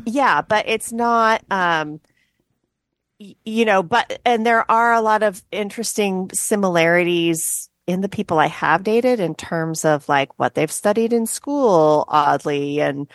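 A woman co-hosting a podcast, talking casually and hesitantly with many pauses and trailing thoughts. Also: medium pitch, no echo, studio quality. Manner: hesitant, lots of fillers and trailing off. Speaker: podcast co-host. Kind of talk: casual chat.

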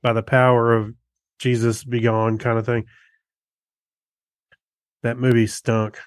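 Plain-spoken man, medium pitch, dry sound. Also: level tone, slow, no room sound. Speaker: podcast co-host. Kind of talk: casual chat, informal movie review.

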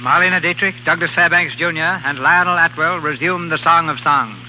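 A man's voice: on the high side, a little fast, a little hiss or hum. A man giving scripted, dramatic narration for a 1930s radio play. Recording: muffled 1930s radio, music behind.

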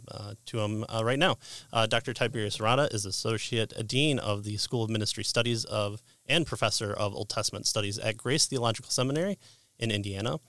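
A man hosting an interview podcast, introducing a guest in a halting way with many fillers. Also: on the low side, fairly bright tone, no echo. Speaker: podcast host.

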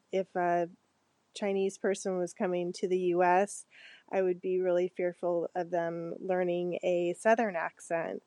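Woman from the US, voicemail caller, unhurried and hesitant, dry close sound, slightly low voice. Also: darkish tone, no room sound. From United States. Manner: hesitant, many fillers. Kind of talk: recorded voicemail question.

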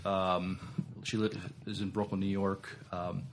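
American male co-host, moderately low pitch, casual discussion, hesitant and halting delivery. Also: flat delivery, slight background noise. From United States East Coast. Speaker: podcast co-host.

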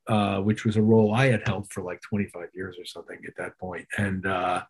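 A middle-aged man with a husky voice answering interview questions as a musician, reflective and detailed in his explanations. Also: low-pitched, level tone, fairly quick, darkish tone. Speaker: interview guest, touring keyboard player.

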